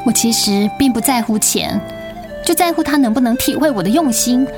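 A woman's voice: a little high, animated, normal speed, close to an everyday voice. An actress with a Taiwanese accent playing a weary, guarded wife in her forties in a radio drama, speaking with restraint. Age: 40s. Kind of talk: Mandarin radio drama acting. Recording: music bed under the voice.

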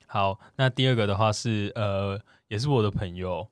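A man's voice: fairly low pitch, moderate pace, smooth, no echo, studio quality. A man with a Taiwanese Mandarin accent chatting casually and playfully as a podcast co-host, often poking fun at himself. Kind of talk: casual chat.

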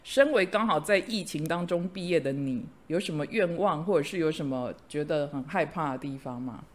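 A man's voice: fairly high pitch, normal speed. Earnest middle-aged man. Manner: earnest, encouraging, fond of idioms. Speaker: teacher and podcast host. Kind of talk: casual chat.

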